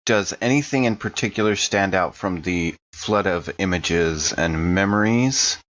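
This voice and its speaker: A man with a low voice talks casually at a game table as one of the players. He describes his moves and asks questions, on a slightly dull, muffled recording. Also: measured pace, fairly bright tone.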